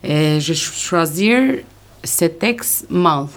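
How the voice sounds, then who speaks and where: A woman picks her way through French, halting and searching for words. Her voice is low and her pace is very slow. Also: animated. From Albania. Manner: hesitant, halting learner French. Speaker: language learner.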